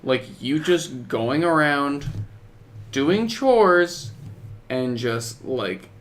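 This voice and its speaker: A young man co-hosting a podcast, chatting casually in a halting, skeptical manner. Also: a little high, lively intonation. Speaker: podcast co-host. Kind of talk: casual chat about video games.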